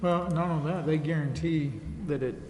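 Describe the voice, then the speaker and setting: Man, fairly high voice, meeting discussion, echoing noisy chamber. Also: fairly even intonation, brisk, darkish tone. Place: indoor council chamber. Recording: echoey meeting chamber with noticeable background noise.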